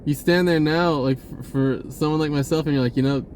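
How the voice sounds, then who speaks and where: A man speaking in a casual, rambling spiritual monologue, halting and drifting in an informal way. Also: on the high side, fairly even intonation, a little mellow, slight background noise. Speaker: spiritual vlogger.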